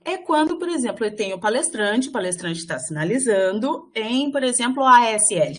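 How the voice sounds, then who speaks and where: Woman interpreter, fairly high voice, halting phrasing, lots of fillers, live lecture interpreting. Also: very animated, a bit bright. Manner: halting, with frequent fillers and restarts while interpreting. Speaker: sign language voice interpreter for a workshop.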